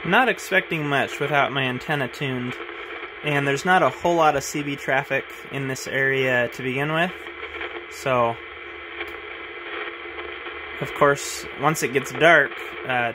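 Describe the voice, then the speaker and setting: A man's voice: mid-range voice, measured pace, background noise. A man casually showing off his radio gear at his desk, thinking aloud with frequent "uh"s as he handles the equipment. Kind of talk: casual hands-on demonstration.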